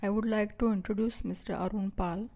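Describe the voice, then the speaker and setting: A woman giving a slow, deliberate voice-over that introduces a traditional potter. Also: mid-range voice, fairly even intonation, warm tone.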